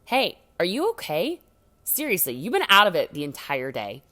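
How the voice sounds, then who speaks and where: A woman's voice: on the low side, huge pitch swings, moderate pace, bright, forceful tone, exaggerated voice. A woman voicing a nosy, concerned teenage schoolboy in a read-aloud story.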